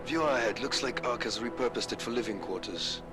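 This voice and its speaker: A man's voice: mid-range voice, a little fast, loud music behind the voice, natural voice. A man voicing a wry, laconic pilot in a video game, with a dry, sardonic delivery. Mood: dry, businesslike.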